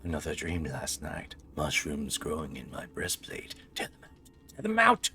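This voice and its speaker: A man's voice: rumbling low, wildly swooping pitch, normal speed, bright, forceful tone, slight background noise. A man hosting a gaming video with casual, joking commentary and wry, playful banter. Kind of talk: casual joking let's play commentary, reading game text aloud.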